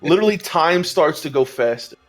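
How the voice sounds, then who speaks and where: Animated thirty-year-old man chatting. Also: on the high side, moderate pace, faint music behind. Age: 30s. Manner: animated, acts out quotes, laughs often. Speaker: podcast co-host. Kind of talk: casual group chat on a live stream.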